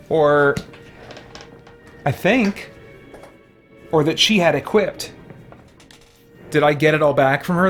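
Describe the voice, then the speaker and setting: Slow, musing male gamer over music. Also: a little high, a bit bright. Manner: musing aloud, halting. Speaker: gamer, let's play host. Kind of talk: casual game commentary.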